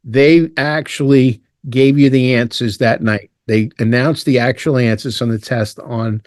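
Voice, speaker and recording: An older man, a guest telling his life story in a relaxed, rambling way. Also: mid-range voice, a little slow, no echo, clean studio sound. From Ireland, raised in Brooklyn. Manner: relaxed, rambling, with restarts and asides. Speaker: guest, retired fire lieutenant. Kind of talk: casual storytelling interview.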